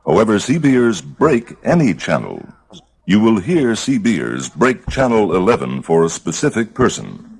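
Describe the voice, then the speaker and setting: A man narrates a scripted instructional guide. His voice is low and gravelly, with a husky grain and lively rises and falls in intonation. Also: measured pace.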